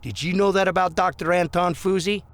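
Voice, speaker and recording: A man's voice: high-pitched, fairly quick, vocal fry, a bit bright. A man playing a corrupt, bullying policeman in an audio drama, mock-friendly and insinuating as he leans on people. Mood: threatening, insinuating. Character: corrupt small-town policeman, smooth, bullying blackmailer.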